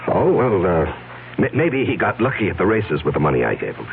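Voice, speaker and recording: A man's voice: deep voice, wildly swooping pitch, brisk, gravelly, heavily acted. An actor playing a nervous, wealthy businessman who stammers and sounds defensive, in a 1970s radio drama. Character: nervous wealthy businessman man hiding a secret. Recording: tinny lo-fi old radio broadcast with faint hiss.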